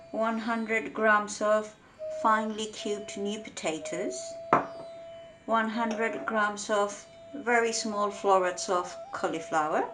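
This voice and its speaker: Slow-speaking woman hosting a cooking show. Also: medium pitch. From Bangladesh. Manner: slow, careful step-by-step explaining. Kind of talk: home cooking demonstration.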